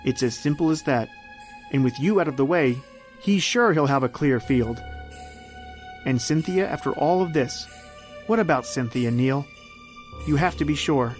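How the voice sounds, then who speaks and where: A man performs an ominous old-time radio suspense narrator. He is knowing and sardonic, addressing the listener as the guilty one, in a medium-pitched voice over music. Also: lightly acted. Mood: insinuating.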